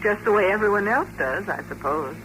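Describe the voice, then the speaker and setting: Woman playing an impressionable young American dancer in vintage radio sci-fi: animated swings of pitch, noisy old recording. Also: a little fast, lightly acted. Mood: uneasy, disdainful. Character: chatty young dancer, easily enthralled fiancée. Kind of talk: 1950s radio drama acting.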